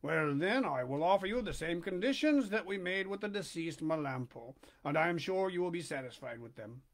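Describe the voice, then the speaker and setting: A man voicing a scheming male polecat, speaking low and wheedling in a read-aloud storybook. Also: expressive, a little fast.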